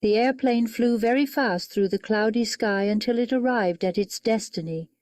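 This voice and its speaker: A woman's voice: a little high, moderate pace, darkish tone. An older woman's text-to-speech voice with a faint Indian accent, reading a short demo sentence.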